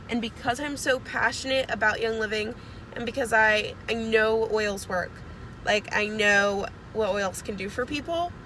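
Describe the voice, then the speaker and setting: A woman's voice: fairly high pitch, sharp tone, a little hiss or hum, rough recording. A young woman getting over a cold, chatting casually and rambling with lots of fillers, recorded on a phone in a car with the air conditioning on. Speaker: network marketing seller. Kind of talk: casual video message.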